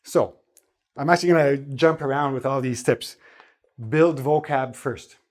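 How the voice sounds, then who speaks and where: A man giving a spoken English lesson in a relaxed, chatty way, often checking in with the listener. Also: mid-range voice, a little slow. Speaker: English teacher.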